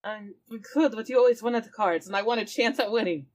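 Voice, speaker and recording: A woman's voice: a little high, quick pace. A woman improvising casually in character as a tabletop game player. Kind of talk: casual improvised role-play.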